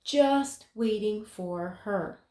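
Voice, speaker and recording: A woman reading a children's picture book aloud in an expressive way, with dramatic pauses. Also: mid-range voice. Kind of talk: children's story read-aloud. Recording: roomy, echoing sound.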